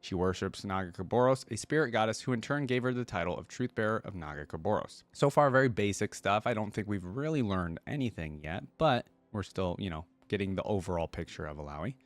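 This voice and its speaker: A man with a fairly deep voice gives casual reaction commentary in a very dry recording with no room sound. He moves between reading text aloud and thinking out loud in a conversational way, like a host talking to viewers. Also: expressive, a little fast, few pauses, no echo.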